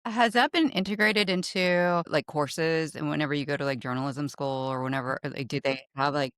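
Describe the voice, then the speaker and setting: A woman with a naturally low voice hosting a casual podcast interview, with soft backchannels and trailing questions. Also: brisk, dry recording, studio quality. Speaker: podcast host.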